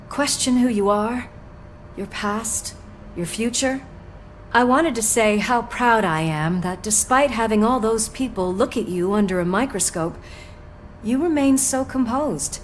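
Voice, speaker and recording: A woman's voice: a little high, unhurried, a little hiss or hum, natural voice. A woman acting a supportive, teasing police partner in a video game, informal and wry. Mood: wry, caring.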